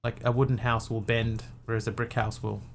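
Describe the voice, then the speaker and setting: A man's voice: medium pitch, level tone, a little fast, creaky, background noise. A man with a New Zealand accent tinged with Australian, chatting casually and rambling through stories while streaming a game on a desk mic. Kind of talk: casual gaming chat.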